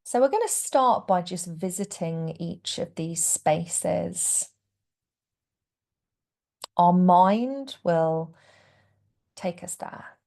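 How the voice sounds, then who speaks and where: Female meditation guide, lowish gentle voice with heavy fry, hushed at phrase ends. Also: lively intonation, drawn-out words, long pauses. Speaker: yoga nidra teacher guiding relaxation.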